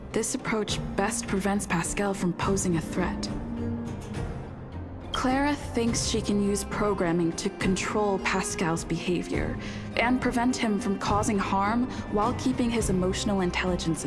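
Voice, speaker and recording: Calm, reflective young woman narrator. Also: medium pitch. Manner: calm, reflective, evenly paced. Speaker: player character thinking aloud. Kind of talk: game inner monologue. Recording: studio dub with music underneath.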